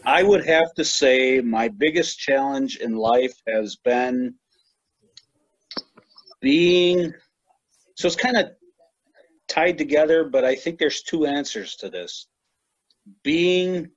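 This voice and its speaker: A middle-aged American man talks slowly over a video call. He answers thoughtfully and often restarts a phrase while he finds the right words. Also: medium pitch, muffled recording. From United States. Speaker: guest.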